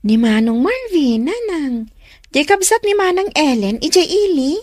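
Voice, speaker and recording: High-pitched, teasing younger sister, played by a woman. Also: sing-song, measured pace, a little mellow, no room sound, studio quality. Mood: chatty, gossiping. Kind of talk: radio drama acting.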